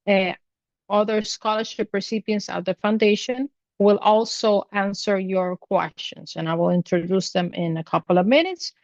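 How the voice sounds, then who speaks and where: A woman's voice: medium pitch, no echo, dull audio. A woman hosting an online panel over a video call, introducing each speaker in turn. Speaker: panel host.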